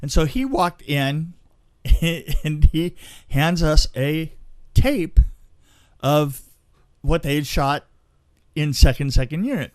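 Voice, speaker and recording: A man telling anecdotes in a casual, halting, animated way on a podcast, as an experienced film crew storyteller. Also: a little high.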